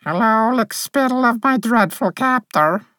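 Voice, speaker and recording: A man's voice: very high-pitched, measured pace, dry recording, clean studio sound, pitched above the performer's own voice, exaggerated voice. A man voicing a gloomy faerie warrior girl. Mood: dreamy gloom. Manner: flat dreamy monotone, theatrical. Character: dreamy, gloomy female faerie warrior, small.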